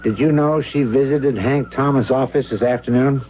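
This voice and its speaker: An actor playing a stern, brusque, probing police lieutenant in an old-time radio drama. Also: male voice, medium pitch, steady tone, moderate pace, close to an everyday voice. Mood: firm. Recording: muffled, noisy old radio recording.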